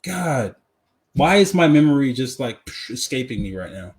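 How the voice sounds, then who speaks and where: A man co-hosting a podcast in casual movie chat, animated and emphatic, often repeating himself for effect. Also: medium pitch, normal speed. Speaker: podcast co-host.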